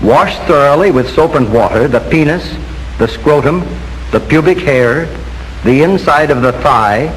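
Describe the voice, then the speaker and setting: Higher-voiced 1940s American male film narrator. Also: animated. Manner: formal, measured, instructive. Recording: noisy 1940s film soundtrack with hiss.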